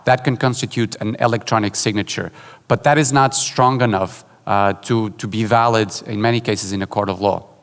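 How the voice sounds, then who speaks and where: A man from Portugal giving a conference keynote, relaxed and joking, with many fillers and restarts. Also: lowish voice, moderate pace. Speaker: keynote speaker. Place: large conference hall. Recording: hall reverb.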